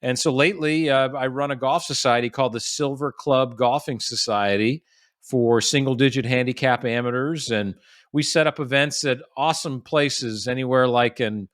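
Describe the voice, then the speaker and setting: A man in his forties from the United States tells stories easily as a podcast guest, restarting sentences and leaning on fillers. His voice sits in an ordinary middle pitch. The recording is dry and close, with no room sound. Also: moderate pace, fairly bright tone, no echo. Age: 40s. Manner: chatty storyteller with frequent restarts and fillers. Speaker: guest, golf professional. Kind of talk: casual podcast interview.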